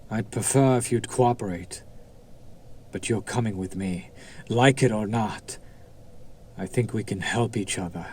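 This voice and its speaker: A lowish male demon's voice, acted by a man, drawling slowly over noticeable background ambience. He is smug and seductive, coaxing with silky condescension and menace, as in an intimate roleplay audio drama. His deliberate pauses let each taunt hang. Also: darkish tone, slight background noise. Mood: smug, menacing.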